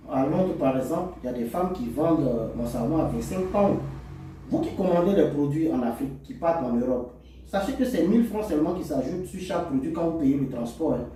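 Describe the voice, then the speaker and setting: A man speaks from a little way off the microphone in a strongly reverberant room. His voice is soft-edged and mellow at a medium pitch. He explains products like a teacher, going over points and listing them one by one. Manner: didactic, repeats and enumerates points. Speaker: cosmetics formulator and seller presenting to resellers. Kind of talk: instructional product talk to camera. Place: echoey indoor room. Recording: very echoey room, distant microphone.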